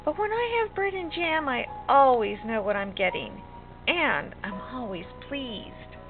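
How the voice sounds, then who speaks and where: An older woman voicing a picky, curious little girl from a storybook, in an earnest, drawn-out sing-song way. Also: sits high, big pitch swings, voice pushed up, heavily acted. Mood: earnest, fussy. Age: older, grandmother. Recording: muffled lo-fi home recording, music behind.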